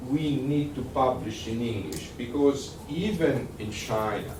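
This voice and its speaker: Italian man lecturing in an echoey room. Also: medium pitch. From Italy. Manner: conversational, halting, with many fillers and restarts. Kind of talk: workshop lecture with audience questions. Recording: echoey room, distant mic, noisy.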